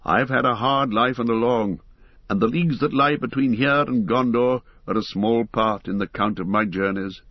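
A man reading the part of a grave, weary heir of kings, speaking calmly and earnestly. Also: medium pitch, normal speed, no echo, muffled recording, close to an everyday voice. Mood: dignified. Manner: calm, dignified, earnest.

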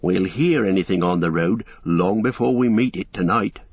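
A man voicing a stout older hobbit farmer with a gruff, rustic manner. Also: sits low, normal speed, no echo, muffled recording.

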